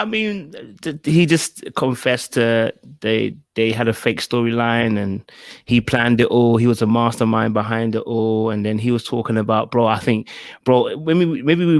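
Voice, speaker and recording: A man's voice: medium pitch, no room sound. South london guy in his 30s, chill slangy chat on a video call, dry close mic. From England. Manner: relaxed, slang-heavy, says bro a lot. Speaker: podcast guest. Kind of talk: casual interview over video call. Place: home room with shelves behind. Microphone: desk podcast mic on arm, earphones.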